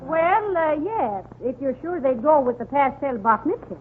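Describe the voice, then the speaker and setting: A woman's voice: high voice, big pitch swings, normal speed. An actress playing a wry, folksy wife who dryly deflates her husband in a 1930s radio comedy. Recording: tinny 1930s radio recording, heavy noise.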